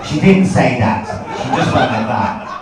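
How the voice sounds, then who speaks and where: A man's voice: mid-range voice, animated, unhurried, over a noisy crowd. A man in his forties with an Irish accent performing a sweary, wry stand-up routine to a live audience, telling stories with acted-out dialogue. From Ireland. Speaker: stand-up comedian. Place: indoor comedy venue before a live audience. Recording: echoey live venue, distant, noisy.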